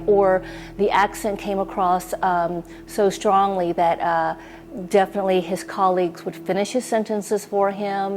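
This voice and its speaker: A woman's voice: medium pitch, darkish tone. An American-accented woman giving a reflective personal account as an interviewee. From United States, Taiwanese-born. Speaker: documentary interviewee. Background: music behind the voice.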